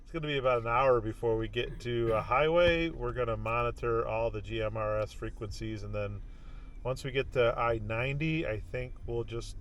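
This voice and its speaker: A man's voice: mid-range voice, fairly even intonation, normal speed, fairly bright tone. A man in his fifties talking casually to camera in a plain-spoken, informal way, as a vlog host. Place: outdoors by a driveway and inside a car. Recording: clear road and car noise.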